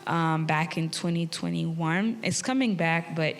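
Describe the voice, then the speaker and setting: Haiti-raised woman panelist, lower voice, earnest, reverberant theatre hall. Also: a little slow, creaky, over music. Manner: earnest, friendly, with fillers and laughs. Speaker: tech community founder, panelist. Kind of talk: live panel talk. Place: theatre stage.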